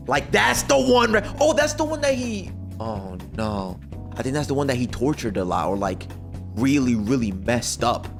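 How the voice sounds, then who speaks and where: A man gives casual reaction commentary with a very lively, swinging pitch. He talks in slang, exclaims and thinks out loud, with music behind him. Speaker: reaction video streamer.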